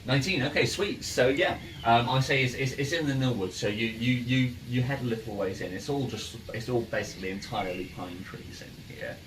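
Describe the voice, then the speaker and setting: A man's voice: mid-range voice, brisk, few pauses. A man running a tabletop game as game master, narrating casually and repeating words as he improvises. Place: in-person game around a table. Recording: distant mics, noisy background ambience. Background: ambient game music and noise.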